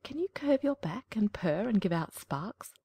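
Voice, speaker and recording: A woman voicing a haughty male cat who speaks in a slow, breathy, smug way. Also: medium pitch, very animated, mellow, no room sound, exaggerated voice.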